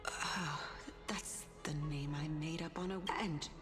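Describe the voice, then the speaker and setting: A woman's voice: low voice, fairly even intonation, a little slow, over music. An actress playing an imperious, knowing woman of great age in a dramatic game, regal and teasing. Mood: probing.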